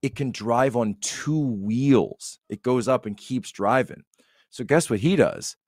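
A man in casual, opinionated podcast conversation, telling stories in a rambling, animated way. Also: mid-range voice, slow, no echo, clean studio sound.

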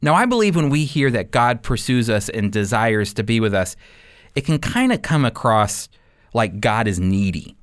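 A man with a mid-pitched voice delivers scripted Bible teaching for radio. He sounds earnest and emphatic, shaping each phrase deliberately, as a program host addressing listeners. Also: very animated, clean tone.

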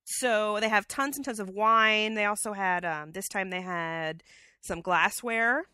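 A woman's voice: mid-range voice, unhurried, pushed and bright, smooth, dry recording. A woman co-hosting a casual podcast who has a cold and sounds slightly scratchy, telling chatty stories with fillers, on a headset mic. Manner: chatty storyteller, many fillers. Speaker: podcast co-host. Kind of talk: casual chat.

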